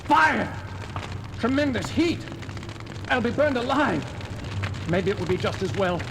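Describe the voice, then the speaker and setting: Anguished baron scientist, pretty high voice, very melodramatic, 70s horror record acting. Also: male voice, sing-song, unhurried, vocal fry, background noise, full character voice. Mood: desperate. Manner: melodramatic, exclaiming. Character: Baron Vincent Frankenstein, anguished, earnest male scientist. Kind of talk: 1970s horror audio drama acting.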